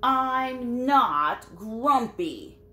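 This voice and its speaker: A grumpy chimpanzee from a storybook, acted out by a woman. He is stubborn and indignant, pushing his voice high and stretching out his emphatic protests. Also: expressive, drawn-out words, bright, forceful tone, slight background noise, full character voice. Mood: indignant denial.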